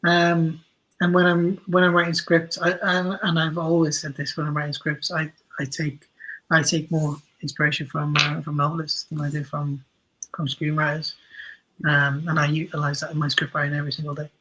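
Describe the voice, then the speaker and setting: A man with a husky, slightly high voice talks casually as an interview guest. He explains his points in an animated way, often stumbling and correcting himself as he goes. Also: moderate pace, poor audio. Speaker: interview guest, audio drama writer and director.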